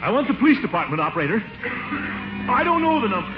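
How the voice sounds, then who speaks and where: Pompous, jittery official, swooping pitch. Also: male voice, big pitch swings, fast, music in the background. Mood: panicked. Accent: American. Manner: pompous, anxious, blustering. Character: pompous, jittery middle-aged man, a nervous town commissioner. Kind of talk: 1940s radio comedy acting. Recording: scratchy, muffled 1940s radio recording.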